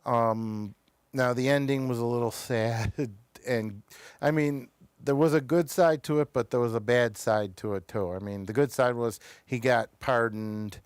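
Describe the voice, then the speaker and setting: A man with a clean, smooth voice hosts an informal review chat. He talks haltingly, breaking off and resuming, and the sound is dry and close with very little room in it. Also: mid-range voice, dry recording. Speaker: show host reviewing television episodes. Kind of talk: casual review discussion.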